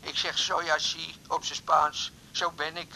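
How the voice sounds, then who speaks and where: A sheepish impulsive suitor in a radio play, hesitant n pleading, sharp highish voice. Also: male voice, flat delivery, unhurried, creaky, exaggerated voice, poor audio. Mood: rueful, chatty. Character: impulsive, sheepish older suitor calling on the phone. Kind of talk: 1980s Dutch radio drama acting.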